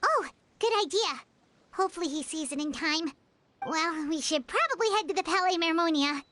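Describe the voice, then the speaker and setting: An actress voicing a tiny, childlike female companion creature in a video game, squeaky, earnest and anxious. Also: lively intonation, moderate pace, pushed and bright, a little hiss or hum, full character voice. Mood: worried. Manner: speaks of herself in third person, earnest.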